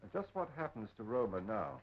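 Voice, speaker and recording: An actor playing a courteous, formal detective with measured speech in a 1930s film. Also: male voice, lowish voice, wildly swooping pitch, slightly rough, exaggerated voice. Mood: calm, probing. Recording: dull, lo-fi 1930s film soundtrack.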